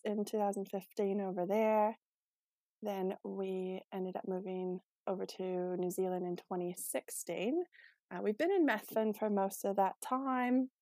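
A woman's voice: medium pitch, mellow, no room sound. Young Canadian woman, casual chat, lots of ums and laughs, dry close sound. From Manitoba, Canada. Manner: chatty, laughs often, many ums. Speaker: podcast guest.

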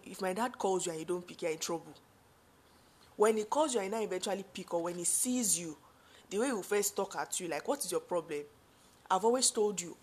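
Deep-voiced Nigerian woman telling a story. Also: husky. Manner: chatty, full of fillers and asides. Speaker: vlogger. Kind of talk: personal storytelling to viewers.

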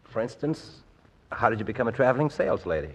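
A man's voice: medium pitch, big pitch swings, fast talker, breathy edge. An actor playing an earnest, self-doubting young man in an old-time radio drama, with a hesitant, stammering delivery. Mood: tender, wistful. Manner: hesitant, stammering, repeating words. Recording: old radio recording, faint music behind.

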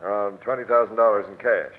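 An actor playing a brusque, skeptical police captain in 1940s radio crime drama style, with gruff, slangy, sarcastic commands. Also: male voice, lowish voice, steady tone, quick pace, lightly acted. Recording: tinny, band-limited old radio broadcast.

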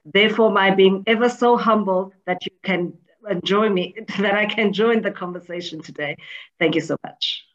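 A woman's voice: medium pitch, moderate pace. Polite woman on a muffled line. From South Africa. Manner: polite, gracious. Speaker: panelist, IT executive. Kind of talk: brief self-introduction. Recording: dull, muffled sound.

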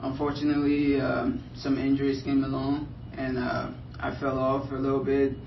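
Young male athlete from Liberia, unsure and filler-heavy, gravelly voice, recorded from a distance with light noise. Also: medium pitch, monotone, moderate pace, echoey room, highs cut off. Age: young adult. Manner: hesitant, filler-heavy, soft-spoken. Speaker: professional soccer player. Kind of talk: press interview answers.

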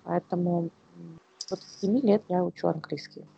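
Female podcast co-host from Ukraine, low and gravelly, halting conversational delivery, muffled audio. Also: fairly even intonation, dark tone, a little hiss or hum. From Kyiv, Ukraine. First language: Russian. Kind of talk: casual podcast chat.

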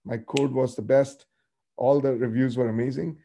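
A man, a podcast guest giving thoughtful, measured answers in an interview. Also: medium pitch, steady tone, vocal fry, warm tone, slight background noise. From India.

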